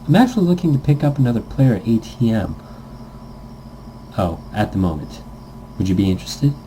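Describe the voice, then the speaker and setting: A man's voice: fairly low pitch, big pitch swings, fairly quick, mellow, slight background noise, poor audio. A man voicing an eager young male gamer in a visual novel, casual, chatty and a little nervous as a recruiter. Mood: eager, friendly.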